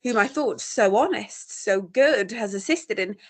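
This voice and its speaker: An actress playing a trapped young heiress, anguished and proud, in formal, tremulous old-time radio melodrama style. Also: female voice, mid-range voice, lively intonation, a little slow. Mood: reproachful, anguished. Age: young adult.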